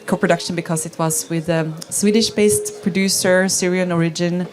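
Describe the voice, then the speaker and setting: Woman, lowish voice, steady, informative panel talk. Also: normal speed, a crowd in the background. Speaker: head of documentary at a regional film fund.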